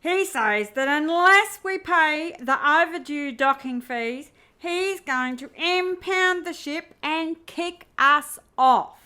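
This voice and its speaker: A woman acts a middle-aged, grumbling space co-pilot from a radio play. Her voice is high and sharp, and she drags out her exasperated complaints very slowly. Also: exaggerated voice. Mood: exasperated, angry. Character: gruff, cigar-smoking female co-pilot in her fifties. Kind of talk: amateur audio drama acting.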